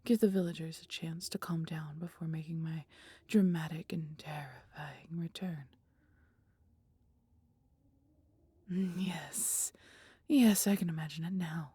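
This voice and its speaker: Woman acting as a female dragon, low-pitched, heavy breathy husk, theatrical archaic speech, proud but caring, fantasy audio drama. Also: steady tone, dark tone, no room sound. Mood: wistful, dramatic relish. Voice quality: breathy, husky. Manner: slow, archaic, theatrical phrasing.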